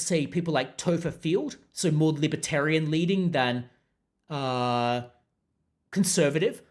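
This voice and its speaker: A man with a mixed international accent, hosting a podcast and answering viewer comments in a measured, unruffled way. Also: fairly high pitch. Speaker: podcast host.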